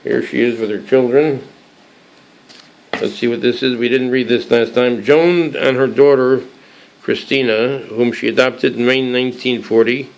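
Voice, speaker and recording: A hoarse man with a cold hosting a casual show-and-tell, reading aloud from a book with many halting restarts and fillers. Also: mid-range voice, fairly even intonation, poor audio. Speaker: collectibles channel host.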